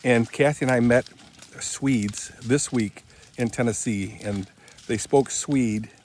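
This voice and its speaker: A man's voice: medium pitch, slow pace, darkish tone, slight background noise. A man in his seventies chatting in a rambling, casual vlog while working outdoors on rural land, often correcting himself as he goes, recorded on a handheld phone camera. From Michigan, USA. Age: 70s. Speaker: vlogger.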